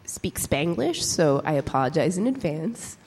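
A woman's voice: low-pitched, sing-song, moderate pace, darkish tone, a little hiss or hum. A woman giving a casual, filler-heavy conference talk, a little nervous. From New York. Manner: chatty, informal, many fillers. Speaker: conference speaker. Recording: reverberant hall.

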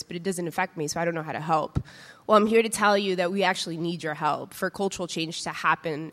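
A woman's voice: fairly low pitch, a bit bright. A woman giving a casual, filler-heavy conference talk, a little nervous. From New York. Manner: chatty, informal, many fillers. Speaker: conference speaker. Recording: reverberant hall.

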